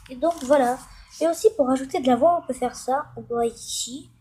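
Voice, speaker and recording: A woman hosting a casual, improvised tutorial, hesitant and halting as she thinks aloud while demonstrating. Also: high voice, mellow, slightly rough. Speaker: tutorial host.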